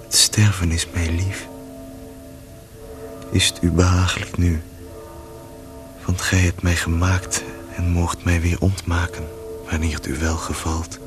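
An actor playing a worn-out dying man who prays slowly and resignedly for mercy in a radio play. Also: deep voice, level tone. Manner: slow, resigned, devout. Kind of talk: solemn prayer in verse in a radio play. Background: music.

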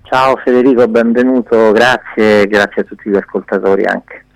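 A man's voice: lowish voice, steady tone, moderate pace, gravelly, dry recording, rough recording. A man, a film director explaining things in a narrative way as a radio interview guest.

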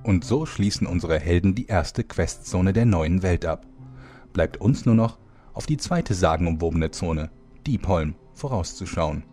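Deep, mellow storyteller played by a man. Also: expressive, a little slow, soft background music. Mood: solemn. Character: solemn male storyteller of a fantasy parody. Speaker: narrator. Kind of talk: scripted narration.

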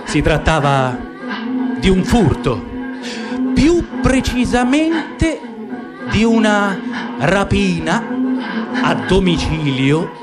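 A man's voice: high voice, big pitch swings, very slow, pauses often, loud music behind the voice. A man giving a theatrical, scripted literary narration for radio theatre. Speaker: actor narrating a literary reading.

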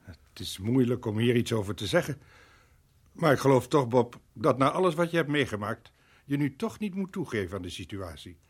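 An actor in a radio play playing a concerned, sober family friend with an earnest, measured delivery. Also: male voice, medium pitch, sing-song, slightly rough. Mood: concerned, urging.

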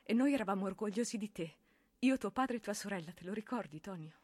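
A woman's voice: medium pitch, expressive, fast, slightly rough, no echo, clean studio sound. An actress playing a proud, grieving mother of a dead soldier in a theatrical drama. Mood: proud, tender.

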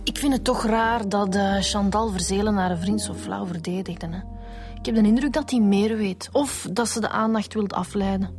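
A woman's voice: medium pitch, moderate pace, a little mellow, close to an everyday voice. An actress voices a questioning woman detective with a Flemish accent. She sounds sceptical and conversational, in a mid-range voice. Faint music sits behind this radio police drama. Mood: doubtful. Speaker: actor.

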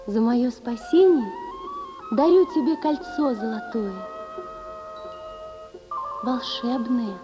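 A woman playing a grateful, gentle magic snake princess who speaks in a courtly, tender manner. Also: a little high, animated, slow pace, pauses often. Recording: music behind the voice.

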